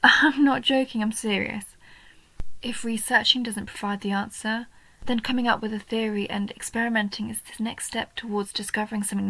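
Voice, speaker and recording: A woman voicing a young female goblin in a roleplay audio, a nerdy, sweet and curious bookworm who talks earnestly and eagerly, sometimes softly breathy. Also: on the high side, dry recording, exaggerated voice, low-quality recording. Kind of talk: roleplay audio, intimate one-sided scripted dialogue.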